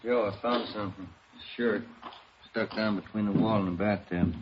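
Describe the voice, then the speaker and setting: A calm police detective speaks in a fairly low, plain voice. He is courteous yet keeps pressing, in vintage radio crime-drama style. The sound is dull and aged. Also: moderate pace, breathy edge. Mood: businesslike. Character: calm, persistent male police detective, the partner.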